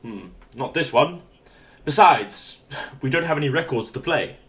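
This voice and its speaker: A man playing an inept, pompous, eager shopkeeper brother in a comedy radio play, dithering and excitable. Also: medium pitch, a little slow, husky, exaggerated voice. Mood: hesitant. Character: inept, pompous, eager upper-class brother running antique shop.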